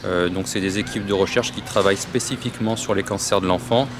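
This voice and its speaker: Man, charity spokesperson, low voice, earnest, hesitant, outdoor city noise. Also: fairly even intonation, a little fast. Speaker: charity association representative. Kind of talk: interview statement.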